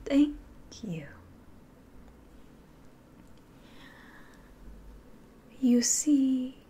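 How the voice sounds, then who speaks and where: Woman acting a slow, whispering demon queen. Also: a little high, dark tone, breathy edge, exaggerated voice. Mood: smug, inviting. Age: 20s. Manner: theatrical, unhurried, mostly whispered. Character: sly, grandiose female demon ruler of a secret brotherhood. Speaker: ASMR roleplay performer. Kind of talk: ASMR roleplay, slow whispering. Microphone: close microphone.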